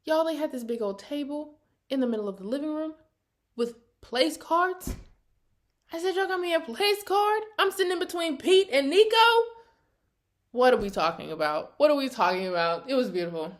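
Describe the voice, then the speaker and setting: Female, early 20s, American. Slightly high voice. Casual vlog storyteller, animated, sassy and full of slang. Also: normal speed. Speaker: vlogger. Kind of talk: casual, chatty personal vlog.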